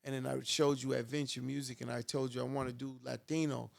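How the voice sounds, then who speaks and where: A man's voice: mid-range voice, little pitch movement, brisk, vocal fry. A man hosting a podcast in a studio, chatting casually with lots of "you know" asides. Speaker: podcast host.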